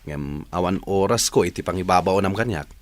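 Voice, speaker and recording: A man's voice: deep voice, a little fast, dry recording, natural voice. A man with an Ilocano accent playing a frank, reluctant, apologetic villager in a radio drama.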